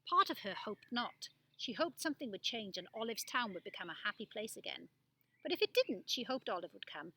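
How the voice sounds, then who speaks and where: A woman giving an expressive, animated reading of a children's story. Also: fairly high pitch, a little fast, poor audio. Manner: animated delivery with acted-out dialogue. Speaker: storybook reader.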